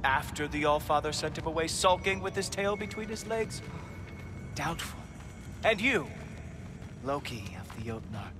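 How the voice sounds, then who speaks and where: A man's voice: fairly high pitch, expressive, moderate pace, long pauses, over music, close to an everyday voice. A man voicing a smug, mocking god in a video game, drawling sarcastic, theatrical taunts.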